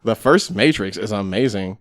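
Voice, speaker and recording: A man's voice: fairly low pitch, lively intonation, normal speed, no room sound, clean studio sound. A man speaking as a podcast guest in casual, conversational chat.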